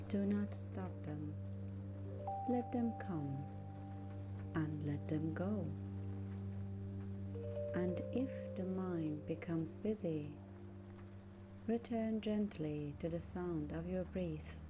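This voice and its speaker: A woman guides a meditation at an extremely slow pace over prominent background music. Her voice is on the low side, and she speaks gently in short, measured phrases. Long pauses separate each instruction.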